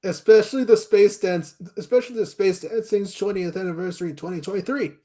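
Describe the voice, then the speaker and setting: A man's voice: high-pitched, fast talker, mellow. A man hosting a casual chat, speaking in brief interjections.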